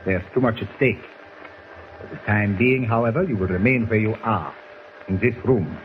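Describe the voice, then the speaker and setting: An actor playing a cold, commanding German villain doctor in a 1940s radio drama, speaking formally and with menace. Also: male voice, fairly low pitch, moderate pace, lightly acted. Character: menacing foreign villain doctor holding captives at gunpoint. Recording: tinny noisy 1940s radio recording.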